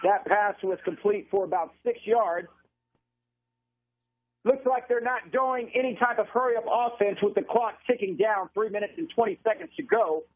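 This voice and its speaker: A phone-thin radio feed carries an American man calling football live. His voice sits high for a man and has a rough, husky edge. He works as a play-by-play announcer, describing the action as it unfolds. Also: normal speed. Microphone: live radio broadcast feed from stadium. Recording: narrow tinny phone-line radio broadcast sound.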